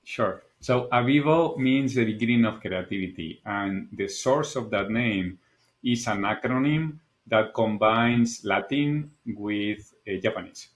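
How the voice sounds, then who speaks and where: A man from Spain speaks English with a clear Spanish accent, his voice sitting a little low. He answers podcast questions in an earnest, reflective way, often circling back on a word before he finds the next. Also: measured pace. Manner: thoughtful, earnest, repeats words while searching for them. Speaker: guest, architect and designer. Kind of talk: candid podcast interview.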